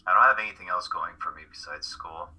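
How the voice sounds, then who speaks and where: A man's voice: sits low, fast, sharp tone, slight background noise. A man playing a blunt, weary, cash-strapped young college student in an amateur radio play reading. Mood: frustrated, resigned. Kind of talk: live dramatic play reading.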